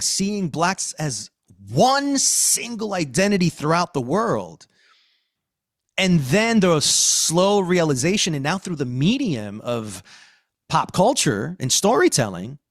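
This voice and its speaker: A man's voice: sits high, no room sound, studio quality. A middle-aged man from Queens, New York, hosting a casual podcast discussion with emphatic, slangy talk. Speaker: podcast host.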